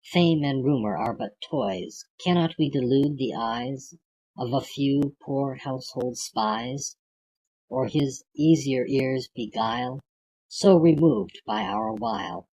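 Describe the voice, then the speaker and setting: Slow, husky poetry reader of unclear gender. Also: medium pitch, mellow, low-quality recording. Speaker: audiobook reader. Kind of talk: reading classic poetry aloud.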